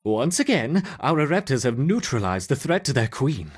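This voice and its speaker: A man playing a gleefully sadistic scientist in a video game, theatrical and savoring, with mock innocence and a husky voice. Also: medium pitch, sing-song, normal speed. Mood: dutiful, clipped. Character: gleefully sadistic male scientist running ant experiments. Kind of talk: video game character acting.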